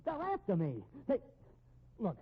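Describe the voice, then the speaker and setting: An actor playing a desperate fugitive brother in a 1950s radio drama, with hard-boiled slangy delivery on a muffled old recording. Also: male voice, high-pitched, wildly swooping pitch, a little slow, slightly rough, exaggerated voice. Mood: agitated. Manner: slangy, hard-boiled tough-guy talk. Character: desperate, cornered fugitive brother, tough small-time crook.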